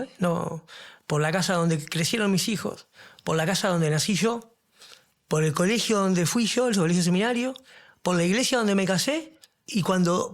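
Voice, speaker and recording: A middle-aged man, a podcast guest giving earnest testimony and searching for his words. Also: high-pitched. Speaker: guest in recovery. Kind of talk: podcast interview, personal testimony.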